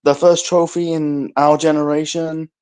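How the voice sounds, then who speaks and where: Chill guy calling in, rambles, says you know a lot, bit higher voice. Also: little pitch movement, measured pace, darkish tone, clean tone. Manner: laid-back, rambling, lots of fillers. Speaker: caller. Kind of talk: casual chat.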